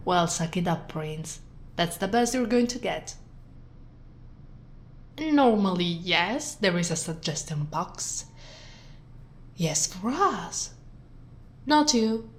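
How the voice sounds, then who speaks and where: A woman from Italy playing a smug, flirtatious sheriff in an ASMR roleplay, with sultry, teasing delivery that drops into breathy whispers. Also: lowish voice, expressive, slow, pauses often. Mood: sarcastic, taunting. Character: teasing, smug, flirtatious female sheriff gloating over captive. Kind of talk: ASMR roleplay, scripted acting.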